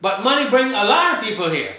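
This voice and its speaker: A man's voice: sits high, big pitch swings, fast, sharp tone. An older man from Barbados, a guest author, talking in a rambling, emphatic way that repeats points and trails off. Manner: emphatic, repeats himself, trails off mid-thought. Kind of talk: rambling conversational storytelling. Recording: very echoey room.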